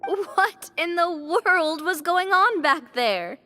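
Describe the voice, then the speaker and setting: Bright, high-voiced breezy girl. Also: animated, slow pace, soft background music, heavily acted. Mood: curious. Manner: casual, candid. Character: breezy, curious young woman, candid and friendly. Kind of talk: scripted video game dialogue.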